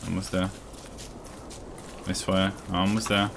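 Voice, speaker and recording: Deep-voiced male streamer, casual. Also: slow, vocal fry, background noise. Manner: relaxed, chatty, reads out viewer chat. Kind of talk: casual gameplay chat. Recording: game music loud behind.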